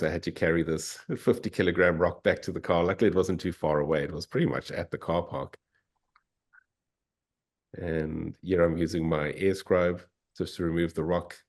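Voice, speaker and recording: A man's voice: low voice, a little mellow. A South African man, a fossil hunter, giving an easygoing, enthusiastic slide talk over a video call. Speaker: fossil hunter and guest speaker. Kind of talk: informal slide presentation.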